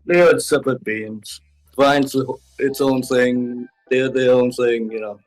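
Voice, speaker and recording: A man answering casually as an interview guest, halting and repeating short phrases as he goes. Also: medium pitch, steady tone, rough recording. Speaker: interview guest, web series creator. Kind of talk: casual chat. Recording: music playing behind. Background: music.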